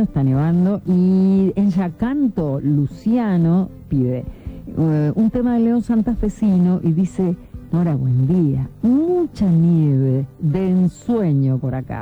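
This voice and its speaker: A woman's voice: low-pitched, phone-quality sound. A woman, hosting early-morning call-in radio and chatting as she reads out listener messages. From Córdoba, Argentina. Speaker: radio host. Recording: phone-thin radio broadcast with faint music.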